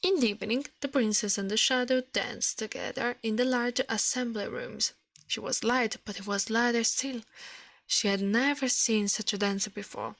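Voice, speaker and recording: Woman, higher pitch, soft ASMR storybook reading, careful halting delivery, close dry mic. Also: vocal fry, no room sound. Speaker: ASMR streamer reading a fairy tale aloud.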